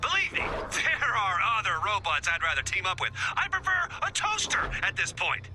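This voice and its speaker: A man's voice: sits high, huge pitch swings, moderate pace, pushed and bright, full character voice, low-quality recording. An actor voicing a wisecracking male video game hero, with a wry, bantering manner. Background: game music.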